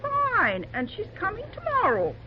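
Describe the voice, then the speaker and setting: A kindly diner owner who is brisk and motherly and full of homely exclamations. Her pitch swings widely, and the sound is dull with a clear hiss. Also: huge pitch swings, heavily acted. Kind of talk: 1940s radio acting. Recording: dull old radio recording with hiss.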